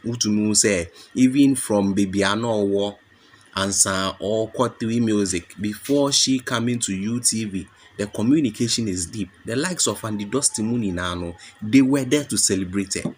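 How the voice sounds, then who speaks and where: A Ghanaian man with a fairly low voice talks his viewers through a story, explaining and praising as he goes. A faint hiss sits under the recording. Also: normal speed. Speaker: gossip channel presenter. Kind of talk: commentary mixing English and Twi.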